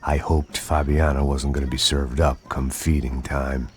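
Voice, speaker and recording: An actor playing a bitter, middle-aged ex-cop, narrating in a gravelly American voice with sardonic, brooding deadpan. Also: male voice, very deep voice, moderate pace, background noise. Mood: bitter, grieving. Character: world-weary, bitter middle-aged male ex-cop turned gunman. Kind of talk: scripted hard-boiled noir game narration. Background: background music.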